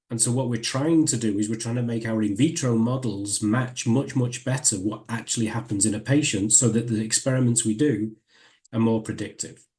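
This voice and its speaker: A middle-aged man from Northern England with a Northern English accent, giving a measured, explanatory academic seminar talk with frequent restarts. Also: medium pitch, mellow. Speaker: guest lecturer.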